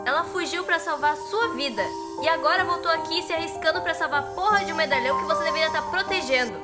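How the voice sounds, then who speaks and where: A woman's voice: very high-pitched, fast talker. A woman playing an indignant, defiant young woman defending a friend in a dramatized audiobook. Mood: angry, indignant. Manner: irritated, forceful, defiant. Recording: loud music bed under voice. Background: music.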